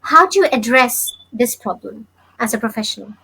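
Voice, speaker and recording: A woman hosting an interview over a video call, asking questions in a measured, polite way. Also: fairly high pitch, animated, vocal fry, sharp tone, husky, rough recording. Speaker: host. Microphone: video call webcam.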